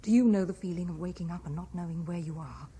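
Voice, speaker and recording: A woman's voice: on the low side, quick pace, dark tone, husky. An actress with a British accent playing a wistful, dreamy young married Frenchwoman in a 1970s radio drama. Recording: vintage radio recording with faint hiss.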